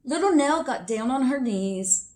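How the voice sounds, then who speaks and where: A woman reading a picture book aloud expressively for children, with deliberate emphasis. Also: high voice, lively intonation, darkish tone. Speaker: children's story reader.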